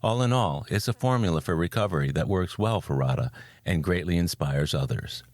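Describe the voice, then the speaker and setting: An older man hosts a recovery podcast in a very deep, low voice. He reads his introduction at a measured pace, then chats easily with his guest. The sound is dry and close, with no room echo. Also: lively intonation, a little mellow. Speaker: podcast host. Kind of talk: scripted intro then casual interview.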